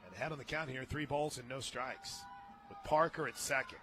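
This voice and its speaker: An American man doing live radio play-by-play of softball, conversational, with wry asides between calls. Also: mid-range voice, fairly quick, over music. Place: radio booth at a ballpark. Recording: noisy outdoor ballpark broadcast.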